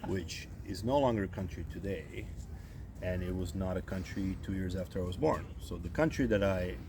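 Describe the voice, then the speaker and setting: Interviewee, male, 30s, from Croatia; very low voice, relaxed filler-heavy talk, noisy recording. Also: normal speed. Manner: relaxed, says "you know" and "right?" a lot. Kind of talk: casual interview answers.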